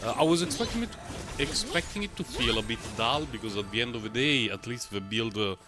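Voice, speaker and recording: A man livestreaming a game, talking in a rambling, chatty way full of fillers, his voice a little low and rough from a recent cold. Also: expressive, fairly quick, over music. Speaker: game streamer. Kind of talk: casual live-stream gameplay commentary.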